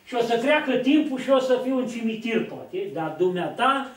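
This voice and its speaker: A man's voice: extremely high pitch, lively intonation. An older man preaching at a lectern, telling stories and acting out the lines of people in them. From Romania. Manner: storytelling, acts out quoted dialogue, gestures widely. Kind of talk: sermon. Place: church hall, at a lectern. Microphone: far camera. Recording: echoey hall from a distance.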